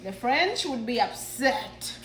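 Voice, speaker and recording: A woman's voice: fairly high pitch, expressive, takes it slowly, fairly bright tone. A young woman who grew up in Uganda, chatting casually as she bakes in her home kitchen and thinks aloud while she works. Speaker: baking vlogger. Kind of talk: casual kitchen vlog talk. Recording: roomy kitchen with background noise.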